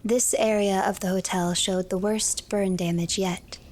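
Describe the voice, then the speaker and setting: A woman's voice: medium pitch, fairly even intonation, a little slow, a little mellow. Woman narrating a story over noisy game ambience. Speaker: streamer narrating a game playthrough. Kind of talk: scripted story narration, third person. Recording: clear background noise, game ambience.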